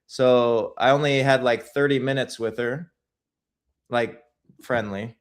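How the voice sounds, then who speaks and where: A man's voice: medium pitch, steady tone, slow. A man being interviewed as a guest filmmaker, telling his stories in a casual, rambling way with lots of restarts.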